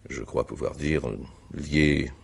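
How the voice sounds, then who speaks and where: Very deep, gravelly examining magistrate. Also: male voice, fairly even intonation, exaggerated voice. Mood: measured, insistent. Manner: formal, measured, hesitant questioning. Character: probing, courteous male examining magistrate, methodical and insistent. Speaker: actor in a radio mystery. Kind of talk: French radio mystery drama acting.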